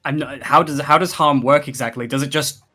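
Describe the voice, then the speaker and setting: A man's voice: on the high side, fairly even intonation, fairly quick, bright, forceful tone, faint music behind. A man running a tabletop fantasy game as game master, talking casually and narrating to the players.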